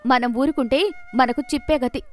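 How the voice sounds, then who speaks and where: A woman's voice: sits high, steady tone, normal speed, soft background music, pitched above the performer's own voice, close to an everyday voice. A woman voicing a scheming, resentful village wife in a folk tale, goading and conspiratorial. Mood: scheming, spiteful. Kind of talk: acted folk tale dialogue.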